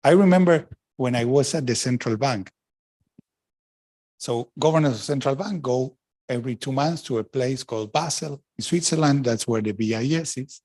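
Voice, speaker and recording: A man's voice: mid-range voice, moderate pace, darkish tone, no room sound. Frank middle-aged economist man, dry sound. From Argentina. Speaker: economist and former central banker. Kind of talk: panel talk answering questions.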